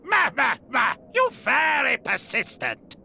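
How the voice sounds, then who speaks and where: An actor voicing a greedy, scheming little furry merchant villain in a game cutscene, blustering in broken grammar with a raspy voice. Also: sits high, very animated, slow, bright, forceful tone, raised voice, slight background noise, exaggerated voice. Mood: indignant, panicked. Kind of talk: video game cutscene acting.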